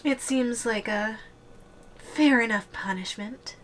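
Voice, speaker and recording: Woman as possessive giantess girlfriend, giggly, languid, slow-paced, ASMR roleplay, faint background noise. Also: a little high, a bit bright. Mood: smugly possessive. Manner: teasing, giggly, languid delivery.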